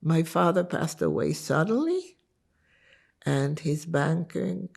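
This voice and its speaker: An older woman from Lithuania whose first language is Yiddish, recalling her life story in an interview in an unhurried, reflective way. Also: low voice, animated, gravelly, a little mellow. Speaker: interviewee giving testimony.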